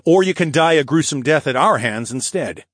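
A man's voice: fairly high pitch, lively intonation, normal speed, no echo, clean studio sound, pitched above the performer's own voice. A man voicing a cocky, tough dragon hero who taunts a prisoner in an audiobook. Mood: threatening. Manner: taunting, sneering.

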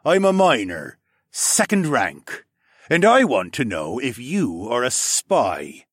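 A man's voice: medium pitch, wildly swooping pitch, slow pace, a bit bright, no room sound, studio quality, voice pushed up, heavily acted. A man voicing a hostile male lizard-man miner who hisses and accuses. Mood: suspicious. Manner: hissing, accusing, spits words.

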